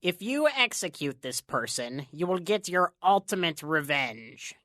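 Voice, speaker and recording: A sly, scrawny little man played by a woman needles and coaxes in an audio drama. The voice is low for a woman, with a sharp, bright edge. It is recorded close and dry, and leans into dramatic pauses. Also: very animated, dry recording. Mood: sly, taunting. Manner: insinuating, coaxing, dramatic pauses. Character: sly small thin male stranger, needling tempter.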